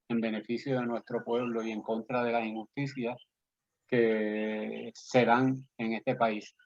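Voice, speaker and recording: A man's voice: medium pitch, darkish tone. A man with a Puerto Rican accent, a poet and guest, speaking earnestly and persuasively in a conversational interview. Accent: Puerto Rican Spanish. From Puerto Rico. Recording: slightly dull lo-fi audio.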